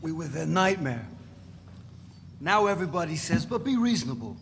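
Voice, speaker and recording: A man's voice: a little high, very animated, moderate pace, gravelly, a bit bright, heavily acted. A man playing a swaggering, foul-mouthed radical revolutionary, emphatic and sardonic, in a dramatic reading of a novel. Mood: defiant, ranting. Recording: noisy, reverberant hall recording.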